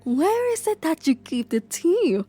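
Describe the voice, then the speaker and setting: A woman playing a gentle, attentive mother keeping up a cheerful front in an anime-style audio drama. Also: very high voice, big pitch swings, a little slow, soft background music, heavily acted. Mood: gently attentive. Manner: gentle, caring.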